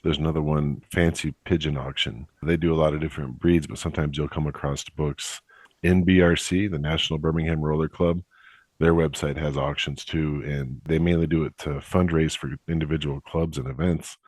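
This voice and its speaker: A young man hosting a podcast, chatting in a relaxed, upbeat, conversational way. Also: extremely low pitch, fairly quick, no room sound. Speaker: podcast host. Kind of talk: casual podcast chat.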